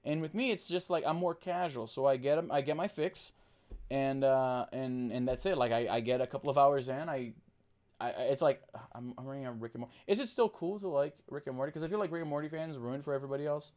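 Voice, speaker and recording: A man from Brazil whose first language is Portuguese, chatting casually on a livestream and reading out chat, rambling with restarts and fillers while talking deliberately slower. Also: medium pitch, a little mellow. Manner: trained to talk slower on camera.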